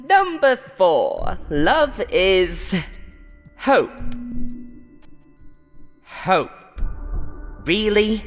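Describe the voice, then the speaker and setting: A woman's voice: a little high, big-room sound, pitched above the performer's own voice, exaggerated voice. A woman voicing a cruel, haughty game show host in a horror story, switching between theatrical announcer tones and contempt.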